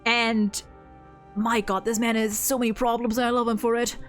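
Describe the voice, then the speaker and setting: A woman's voice: on the high side, flat delivery, normal speed, soft background music. A woman chatting casually as an enthusiastic fan, effusive and sweary, often stammering over repeated words. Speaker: streamer co-hosting a video game story ranking. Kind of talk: casual animated fan discussion.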